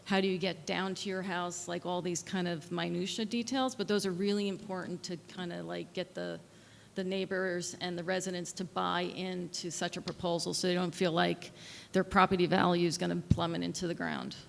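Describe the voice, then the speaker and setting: A soft-spoken woman gives earnest testimony, careful and technical, with frequent fillers. Her voice carries a gravelly fry. The sound has a long hall echo and faint background noise. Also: on the low side, little pitch movement, normal speed. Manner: earnest, explaining with technical terms, many fillers. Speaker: local resident and architect giving public testimony. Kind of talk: public hearing testimony.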